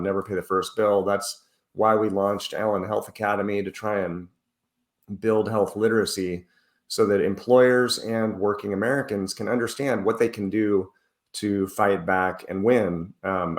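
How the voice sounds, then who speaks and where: Earnest man, lower voice, echoey room. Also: fairly even intonation, normal speed. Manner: earnest, explanatory, with many restarts. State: recovering from flu, using a cough drop. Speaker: podcast guest, author. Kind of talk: casual interview talk.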